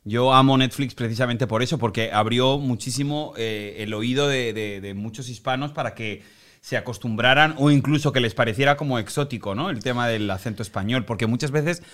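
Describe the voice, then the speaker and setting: A man's voice: mid-range voice, brisk, fairly bright tone. A man from Spain with a Spanish accent, chatting playfully as a guest on a talk show and laughing easily. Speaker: TV presenter and guest. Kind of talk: casual interview chat.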